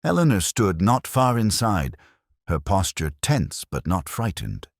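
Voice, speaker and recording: Very deep-voiced male story narrator. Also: big pitch swings, a little slow, gravelly, dry recording, studio quality. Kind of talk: scripted dramatic detective narration.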